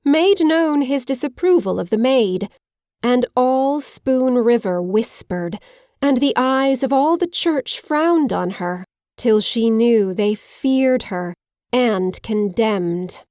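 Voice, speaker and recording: Higher-pitched, unhurried woman reading verse. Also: animated, darkish tone, dry recording, clean studio sound. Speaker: poetry reader in a group recording.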